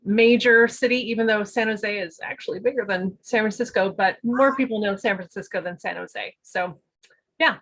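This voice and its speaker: A chatty woman speaking as an interview guest over a video call. Her voice is bright, and she talks easily with plenty of fillers. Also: medium pitch, normal speed. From United States. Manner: chatty, laughs often, many fillers. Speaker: guest, business owner. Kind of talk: casual interview talk.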